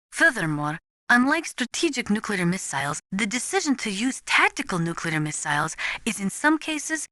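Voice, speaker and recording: A serious female weapons analyst in a video game. Her voice is bright and crisp-edged, with lively movement in pitch, recorded very dry. She lectures formally and precisely, in earnest. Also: dry recording. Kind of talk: scripted expository video game dialogue.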